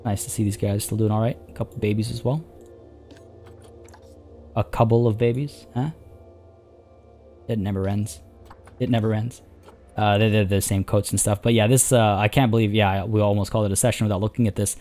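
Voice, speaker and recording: A man with a hoarse, tiring voice, chatting casually as he plays a zoo-building game and rambling through his thoughts. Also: on the low side, fairly even intonation, brisk, pauses often, warm tone, faint music behind. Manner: rambling, chatty, many restarts and fillers. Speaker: let's play host. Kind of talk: casual gameplay commentary.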